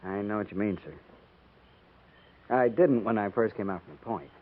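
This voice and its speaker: A man's voice: lowish voice, moderate pace, natural voice. An American man playing an earnest, dutiful junior cavalry officer in a 1950s radio western, speaking respectfully. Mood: reflective, quiet. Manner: respectful, clipped military address. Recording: tinny, noisy 1950s radio recording.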